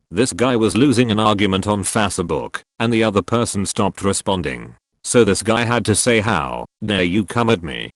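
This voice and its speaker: A man narrating a string of online forum posts as a scripted reading, in an even, matter-of-fact delivery on a close voice-over mic. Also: on the low side, no echo.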